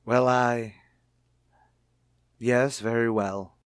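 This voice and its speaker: An actor playing a cornered husband with a false identity in a radio drama, earnest and self-justifying. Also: male voice, mid-range voice, fairly even intonation, drawn-out words, clear, resonant tone, no echo, studio quality. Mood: guarded. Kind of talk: old-time radio drama acting.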